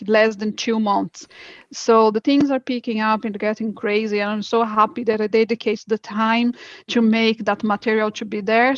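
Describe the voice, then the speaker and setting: A woman's voice: a little high, no echo, highs cut off. A woman from Brazil with a Brazilian Portuguese accent, speaking English as a guest in an interview, earnest and enthusiastic, often restarting her sentences. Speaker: guest, crypto educator. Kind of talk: interview conversation.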